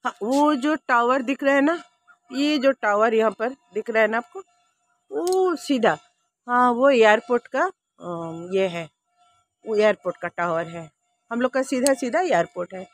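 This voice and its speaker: A woman's voice: on the high side, expressive, unhurried, no echo. Chatty woman in her sixties, dry sound. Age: 60s. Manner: chatty, homely, speaks directly to viewers. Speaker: vlogger. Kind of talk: casual rooftop garden tour. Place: outdoor rooftop garden. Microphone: handheld phone camera.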